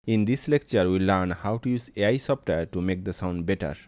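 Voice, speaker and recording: A man's voice: low-pitched, fairly quick, a little mellow, no room sound, clean studio sound. A man reading a scripted lecture as a tutorial narrator, played as a sample with vintage vinyl effects. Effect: vintage radio filter with vinyl crackle. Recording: faint hiss and record crackle.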